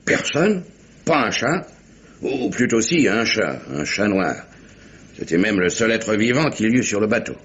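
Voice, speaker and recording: Un acteur jouant un capitaine de navire marchand anglais, bourru et impatient, au parler direct et familier, dans une dramatique radio policière française. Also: male voice, fairly low pitch, big pitch swings, measured pace, a bit bright. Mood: insistent. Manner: blunt, colloquial, grumbling. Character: gruff, impatient male English merchant sea captain. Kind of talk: French radio mystery drama acting. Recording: dull, noisy vintage radio recording.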